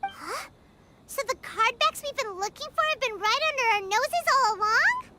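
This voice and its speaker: A woman voicing a tiny, childlike fairy companion in an anime game, squeaky, eager and exclamatory. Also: big pitch swings, moderate pace, bright, forceful tone, full character voice. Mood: surprised, curious.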